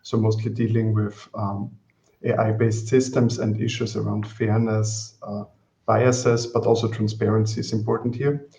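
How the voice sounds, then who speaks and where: A man's voice: fairly low pitch, flat delivery, moderate pace, vocal fry, warm tone. A man, introducing himself as a research manager on an online panel. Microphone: headset on a video call. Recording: dull video-call audio.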